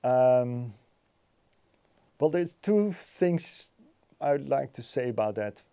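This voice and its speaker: A man giving a technical explanation as an interview guest, haltingly, often repeating words as he thinks. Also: medium pitch, animated, mellow, clean tone. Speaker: interview guest, loudspeaker designer.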